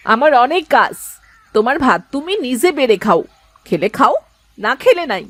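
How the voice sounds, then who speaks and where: Brief: cartoon wife, scornful nag, bright lively voice, female actor. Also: fairly high pitch, moderate pace, dry recording. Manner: scornful, nagging. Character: sharp-tongued scolding village wife. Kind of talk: Bengali cartoon voice acting.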